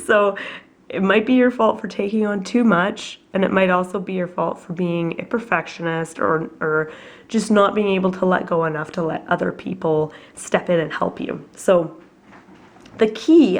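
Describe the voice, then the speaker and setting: A woman with a cold giving a chatty, reflective weekly tarot reading at home, her voice husky and her talk full of fillers. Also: fairly low pitch. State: has a cold, coughing. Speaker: tarot reader.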